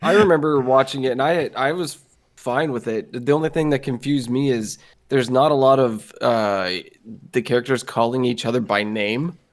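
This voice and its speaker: A man's voice: medium pitch, normal speed. Rambling male podcast co-host. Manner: rambling, thinking aloud with fillers. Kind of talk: casual podcast chat.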